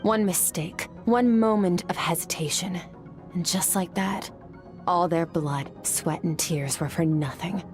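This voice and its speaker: An actress voicing a stern female narrator speaking gravely about warriors. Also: lowish voice, over music, close to an everyday voice. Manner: grave, measured.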